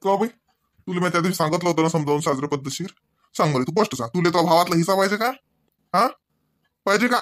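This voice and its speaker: A man's voice: sits high, quick pace, pauses often, fairly bright tone, no echo. A man acting a stern, pleading old village father in a comedy cartoon, lecturing insistently in a rural Varhadi Marathi accent with questioning tags. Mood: firm, questioning. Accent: Varhadi Marathi, rural Vidarbha.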